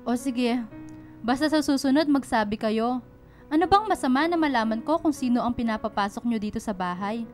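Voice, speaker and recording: Woman acting stern, high-voiced Filipino mother. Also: lively intonation, brisk, darkish tone, faint music behind, natural voice. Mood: firm, relenting. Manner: scolding, questioning, maternal. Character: stern but caring working mother. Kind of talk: Tagalog radio drama acting.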